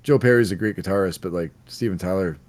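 A man co-hosting a music podcast, presenting a countdown of songs in casual chat, relaxed and laughing now and then. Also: low-pitched, fast. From Canada. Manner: relaxed, laughing, slight stumbles over words.

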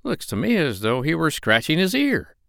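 A man voicing a mild, upright and curious gentleman doctor, earnest and polite, in a children's storybook. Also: on the high side, huge pitch swings, normal speed, creaky, no room sound, studio quality, voice pushed up, full character voice. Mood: excited, curious.

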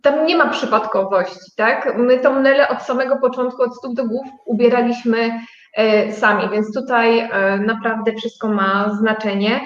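The recording is noticeably echoey and a little dull. In it a woman with a fairly high voice explains things conversationally, as a guest in an interview. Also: steady tone, normal speed. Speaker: interview guest, business owner. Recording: dull, roomy, echoing sound.

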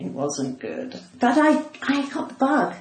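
A woman's voice: sits high, wildly swooping pitch, a little slow. A middle-aged woman with a cut-glass English accent, chatting casually and theatrically as she tells anecdotes in an interview. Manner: flamboyant, theatrical; giggly. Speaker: comedian and actor being interviewed. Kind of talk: casual chat, personal anecdotes. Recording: roomy echo, clear background noise.

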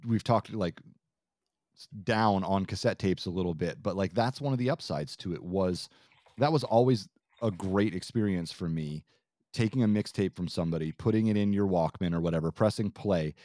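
Middle-aged male co-host, lowish voice, informal and musing, chatting casually in a dry recording. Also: normal speed, dark tone, no room sound. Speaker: podcast co-host. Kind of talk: casual chat.

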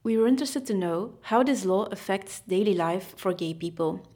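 A woman with a mid-pitched voice reads documentary narration from a script. Her delivery is even and measured. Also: a little hiss or hum. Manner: even, read-aloud delivery.

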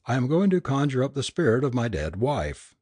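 A man's voice: medium pitch, very animated, fairly quick, a little mellow, breathy edge, no room sound, voice pushed up. A man voicing a cruel, cynical fake spirit medium in an audiobook, with a lofty, sinister, commanding manner. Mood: sinister, mocking. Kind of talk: dramatic audiobook character reading.

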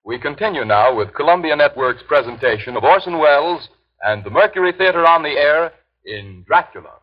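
A man's voice: on the high side, animated, brisk, dull audio. A man announcing for a radio network in a formal 1930s broadcast style.

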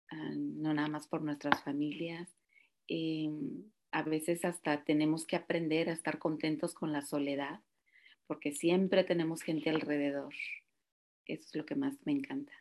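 A middle-aged woman from Mexico speaking as a podcast guest, thoughtful and measured. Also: sits low. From Sinaloa, Mexico. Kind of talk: reflective conversation.

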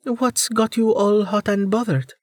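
Man putting on a high, teasing young woman. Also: steady tone, measured pace, no room sound. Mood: false innocence. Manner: playful, mock-innocent, teasing. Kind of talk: audiobook character dialogue.

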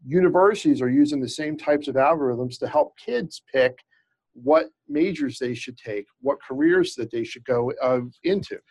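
A man's voice: medium pitch, lively intonation, moderate pace, darkish tone, rough recording. A man with a slight stutter, a lawyer explaining cases in casual interview talk with frequent restarts and fillers. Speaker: employment lawyer, guest. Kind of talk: conversational expert explanation.

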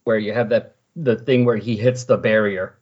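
A middle-aged man with a slightly husky voice hosting a casual comic book discussion in a chatty, explanatory way. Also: lowish voice, steady tone, moderate pace, darkish tone. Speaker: podcast host. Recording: dull lo-fi voice recording.

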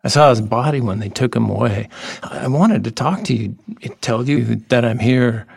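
A man in an audio drama playing a gentle, lonely half-human dolphin creature, telling things in a halting, dreamy, earnest way. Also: mid-range voice, creaky, slightly rough, dry recording, studio quality. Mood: wistful, lonely. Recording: music behind the voice.

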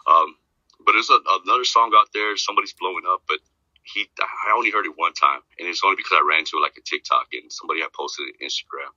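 A man talking casually as a podcast guest, rambling and repeating himself, with a deep voice. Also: fast, pushed and bright, no echo, lo-fi sound. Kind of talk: casual chat.

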